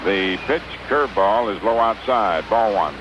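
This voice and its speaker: An American man calling baseball play-by-play for 1950s radio, in measured, clipped phrases with pauses between them. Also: on the low side, animated. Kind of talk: 1950s radio sports commentary. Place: ballpark broadcast booth. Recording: noisy, dull vintage 1950s radio broadcast.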